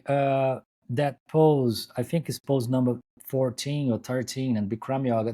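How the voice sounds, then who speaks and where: A middle-aged man from Brazil with a Brazilian Portuguese accent, a guest yoga teacher telling his story in an unhurried way over a video call, with many fillers and restarts. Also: mid-range voice, warm tone. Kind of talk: interview storytelling. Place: home, joining remotely.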